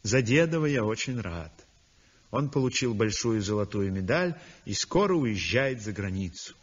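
An actor playing a weak, convalescent young male painter who narrates his story in a 1960s radio drama, speaking softly and breathily. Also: on the low side, animated, moderate pace, slightly rough. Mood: reflective. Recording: dull old radio recording with long reverb.

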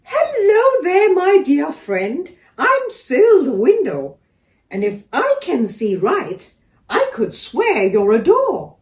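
A woman's voice: high voice, wildly swooping pitch, slow, a little mellow, voice pushed up, exaggerated voice. A sweet, gushing window lady, as a woman storyteller puts her on, raised and lilting. She sounds eager and friendly. It is heard in an echoey room from a distance. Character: sweet, bright-spoken female window.

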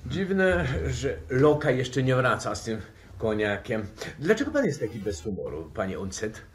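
An actor playing an easygoing, sociable professor with a genial, philosophical manner in a 1960s radio drama. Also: male voice, mid-range voice, huge pitch swings, moderate pace. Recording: faint background noise.

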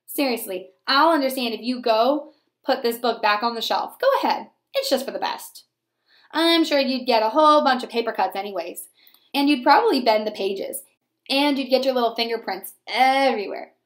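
A woman's voice: fairly high pitch, moderate pace, lightly acted. A woman voicing a grumpy, bored penguin in a sarcastic, put-upon way, from a children's picture book. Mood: grumpy, dismissive.

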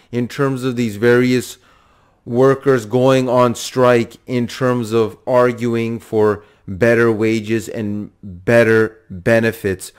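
A man's voice: mid-range voice, darkish tone, clean tone. A man giving political analysis in a wordy, repetitive way, stretching phrases out for emphasis. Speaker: political commentator.

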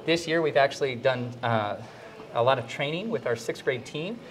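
A man's voice: mid-range voice, moderate pace, poor audio. Upbeat middle-aged man giving a tour. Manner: upbeat, explanatory, walking while talking. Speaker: school principal leading a tour. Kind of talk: casual guided tour talk. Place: indoor school halls and classrooms. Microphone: handheld microphone.

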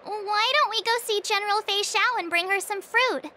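A woman's voice: very high-pitched, normal speed, bright, forceful tone. A woman voicing a perky, earnest teenage sword apprentice in an anime game. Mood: curious, friendly.

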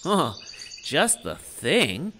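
A man voicing a kindly, musing father spider in a puppet story, speaking in a measured way. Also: on the high side, huge pitch swings, background noise, voice pushed up, exaggerated voice.

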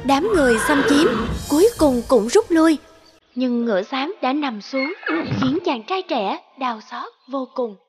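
A woman tells a fable as scripted narration. Her voice is high, and loud music plays behind her throughout. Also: expressive, a little slow.

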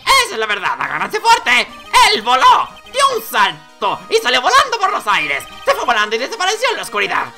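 A performer voicing an indignant, excitable male circus clown in a game, theatrical and exclamatory. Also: sits high, a little fast, few pauses, faint music behind, pitched above the performer's own voice, full character voice. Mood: showy, excited. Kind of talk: video game dialogue read aloud with character voices.

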